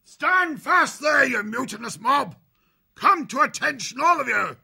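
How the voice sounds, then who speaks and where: A man voicing a bossy military hare sea-captain in a storybook, with a raspy British bark and pompous bluster. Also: sits high, very animated, normal speed, no echo, studio quality, pitched above the performer's own voice, exaggerated voice. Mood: barking orders. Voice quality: raspy, gravelly shout.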